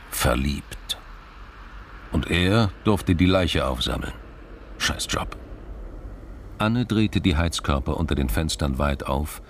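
Very deep, gravelly male narrator. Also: a little hiss or hum. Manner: measured, literary storytelling. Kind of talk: scripted audio drama narration. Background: music.

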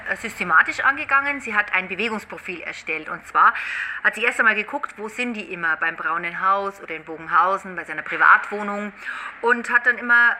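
A woman's voice: mid-range voice, background noise. A woman explaining history casually, as an author talking in an interview. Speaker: author commenting on her research.

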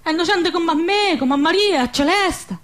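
A woman's voice: extremely high pitch, animated, moderate pace, fairly bright tone. An actress playing a defiant, pregnant young village woman in a radio drama, anguished and impassioned, with a southern Lazio dialect. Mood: pleading, defiant. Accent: southern Lazio Italian dialect.

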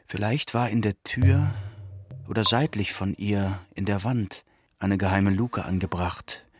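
A man reading literary narration for a radio drama in a measured storytelling manner. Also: lowish voice, a little mellow, breathy edge, dry recording.

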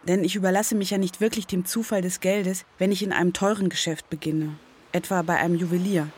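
A woman's voice: fairly low pitch, fairly even intonation, a little fast, darkish tone, husky. A woman reading scripted, diary-like narration as the author of a documentary radio play. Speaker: author narrating her own experiment. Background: acoustic guitar music.

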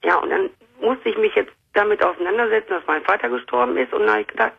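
A woman calling in to a late-night talk show, telling her story in a chatty, animated way. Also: medium pitch, fast, vocal fry, slightly rough. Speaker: caller. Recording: muffled phone-line audio.

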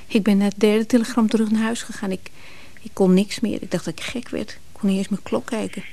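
A woman's voice: medium pitch, fairly even intonation, fairly quick, vocal fry, mellow, slight background noise, lightly acted. An actress playing an anxious, longing woman who speaks hesitantly and pleadingly in a radio drama. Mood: hurt, wistful. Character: anxious, longing woman clinging to her absent lover. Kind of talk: Dutch radio drama acting.

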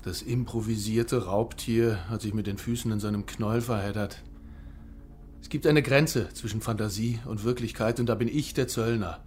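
An actor playing a cynical, smug police officer in a radio play, speaking in a sardonic, deliberate, menacing way. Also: male voice, on the low side, fairly even intonation, darkish tone, faint music behind, natural voice. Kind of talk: German radio play acting, monologue.

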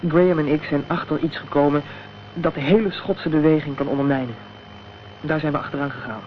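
An actor playing an injured, guarded young activist in a Dutch radio drama, speaking hesitantly and evasively. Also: male voice, a little high, fairly even intonation, fairly quick, close to an everyday voice. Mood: defiant. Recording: dull, noisy old radio recording.

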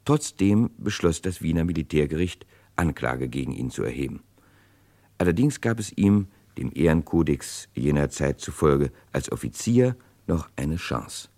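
A man narrating a scripted radio crime drama in a measured, sober manner. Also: very deep voice, expressive, mellow.

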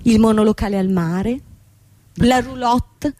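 A woman's voice: on the high side, a little slow, warm tone, lightly acted. An actress playing a young woman company boss in a radio drama, formal, insistent and manipulative. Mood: threatening. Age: young adult. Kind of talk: theatrical radio drama acting.

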